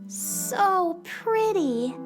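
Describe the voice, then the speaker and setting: Woman voicing little space heroine, very high voice, sweet, earnest, unhurried, children's radio theater, music underneath. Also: lively intonation, heavily acted, poor audio. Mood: friendly, wondering. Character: kind, wonder-filled young space cowgirl.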